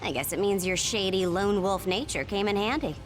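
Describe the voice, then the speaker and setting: A woman's voice: mid-range voice, lively intonation, brisk, faint music behind, close to an everyday voice. A woman playing a blunt, skeptical female soldier in a video game, with a dry manner. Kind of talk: English video game voice acting.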